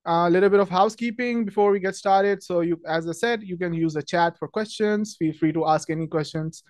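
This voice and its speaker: Young man from Pakistan, friendly webinar host, slightly higher voice, dry close sound, many fillers. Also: brisk, darkish tone, no echo. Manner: friendly, chatty, many ums and restarts. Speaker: webinar host, product marketing manager. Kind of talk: casual webinar presentation.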